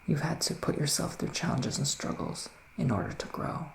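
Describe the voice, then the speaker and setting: A man plays a gentle psychic witch in an ASMR roleplay, his voice heavily creaky and breathy, drifting between soft talk and whispering. He is soothing and unhurried in manner. The room adds noticeable echo, with faint noise underneath. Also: fairly high pitch, animated. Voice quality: breathy, often slipping into whisper. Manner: slow, hushed, soothing and reassuring. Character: gentle psychic male witch giving a reading. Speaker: ASMR performer playing a psychic reader. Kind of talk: ASMR roleplay, improvised tarot reading.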